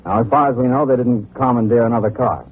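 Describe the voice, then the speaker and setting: An actor playing a weary, methodical FBI agent, speaking in a measured, businesslike way in an old-time radio drama. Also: male voice, mid-range voice, slight background noise, close to an everyday voice. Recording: tinny old radio recording.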